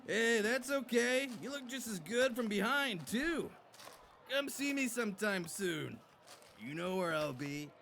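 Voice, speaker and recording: An actor playing a leering, catcalling flirt in a visual novel, with a suggestive, teasing delivery. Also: male voice, sits high, expressive, measured pace, creaky, a bit bright, a little hiss or hum, heavily acted. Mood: leering, flirty. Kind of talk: scripted visual novel voice acting.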